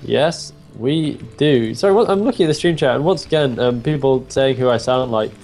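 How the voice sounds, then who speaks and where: A man's voice: mid-range voice, moderate pace, a little mellow, soft background music. A man with a British accent co-commentating an esports match in a wry, self-mocking, chatty way. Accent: British English. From Britain. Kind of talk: casual co-commentary.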